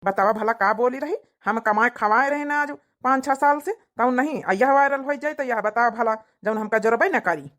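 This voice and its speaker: Casting: cartoon working husband played by a woman, Awadhi accent, high voice, nagging and long-suffering. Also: normal speed, few pauses, fairly bright tone, lightly acted. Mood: aggrieved, scolding. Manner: complaining, long-suffering, scolding. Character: fed-up working village husband nagging his wife. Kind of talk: comedy cartoon dialogue.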